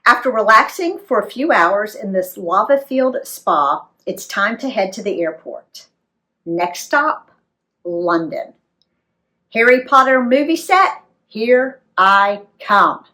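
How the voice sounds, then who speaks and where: An American woman reads a travel memoir aloud in an animated, dramatic storytelling style for young readers. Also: mid-range voice, takes it slowly. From United States.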